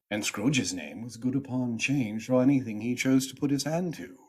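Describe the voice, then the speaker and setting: A man narrating a radio play as a theatrical storyteller, with a husky voice and a dramatic, relishing delivery. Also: medium pitch. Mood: emphatic, wry.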